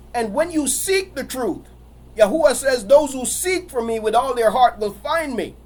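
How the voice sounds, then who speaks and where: A man's voice: extremely high pitch, expressive, unhurried. A man preaching a sermon while he has a cold and sniffles, repeating his phrases in a building, emphatic cadence.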